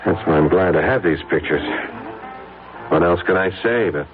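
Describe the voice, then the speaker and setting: A low, tinny radio-play voice with faint music beneath: an actor as a married executive man. He is principled, reserved and stubborn, with some unease under it. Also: moderate pace, close to an everyday voice. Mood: reserved, firm. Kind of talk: radio drama acting.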